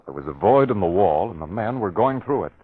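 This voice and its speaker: A man's voice: fairly low pitch, lively intonation, brisk, a little hiss or hum, natural voice. A man narrating a classic radio mystery drama in a scripted, dramatic style. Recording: dull vintage radio broadcast recording.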